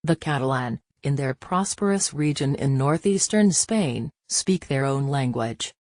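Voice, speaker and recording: A computer-like voice reads a news interview word for word at an even, unhurried pace, saying aloud who is asking and who is answering. The pitch sits in a middle range. The recording is close and dry, with no room sound around it. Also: no echo. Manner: even, unhurried read-out, speaking the interviewer and guest labels aloud. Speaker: news reader.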